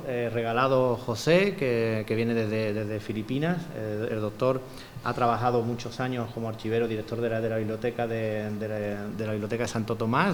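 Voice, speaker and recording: A man moderating a conference panel and introducing the speakers, talking fluently with frequent small restarts and fillers. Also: mid-range voice. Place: conference hall. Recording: long hall reverb, faint room noise.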